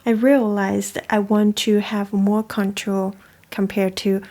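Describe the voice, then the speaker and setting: A woman's voice: mid-range voice, little pitch movement, slow, darkish tone. A young woman from China, talking casually and thoughtfully as the host of a coffee chat. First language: Chinese. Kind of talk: casual reflective talk.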